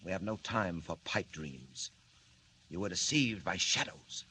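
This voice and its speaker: A man's voice: low-pitched, lively intonation, measured pace, bright, forceful tone, slightly rough, exaggerated voice. An actor playing a scheming, mocking male villain mastermind in 1940s radio acting, with smug, stiff, formal phrasing.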